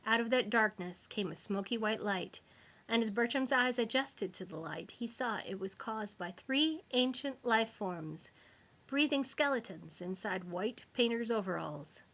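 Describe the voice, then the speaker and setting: A woman reading a humorous science fiction novel aloud, with wry, expressive storytelling. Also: on the high side, a little slow. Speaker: author reading own book. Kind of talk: scripted narration of humorous sci-fi novel.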